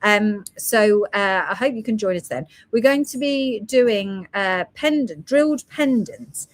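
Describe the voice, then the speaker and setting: A woman's voice: medium pitch, animated, measured pace. A woman hosting a live stream selling gemstone beads, chatting casually and reading out product codes and prices. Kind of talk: casual live sales chat.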